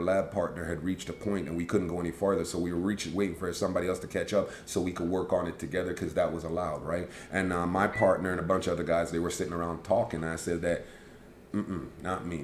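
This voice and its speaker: Booming deep-voiced man telling stories. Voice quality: big booming voice. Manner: rambling, animated storyteller with frequent restarts. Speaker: host. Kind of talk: casual storytelling to a live stream audience. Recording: echoey room with faint background noise.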